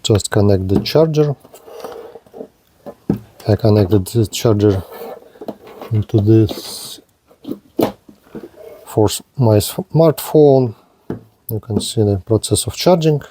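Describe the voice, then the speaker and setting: A man reviewing and demonstrating a radio, speaking in slow, halting. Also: medium pitch, background noise. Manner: slow, halting non-native phrasing. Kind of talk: product demonstration.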